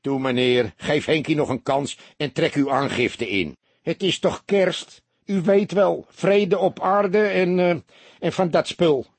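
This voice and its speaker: A thin, streetwise barkeeper acted by a male audiobook reader with a husky voice and swooping pitch. He is wary and grumbling, wheedling in slangy speech, and the sound is close and dry. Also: very animated, unhurried, darkish tone, no echo. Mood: pleading.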